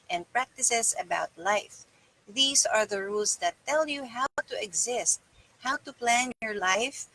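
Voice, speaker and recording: A woman's voice: a little high, pushed and bright, rough recording. A middle-aged woman reading a self-help book aloud in a small room indoors. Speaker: reader.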